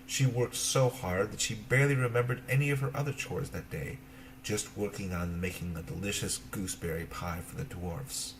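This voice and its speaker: A man narrating a children's fairy tale as an expressive storyteller, in simple phrases. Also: fairly low pitch, normal speed, noisy background.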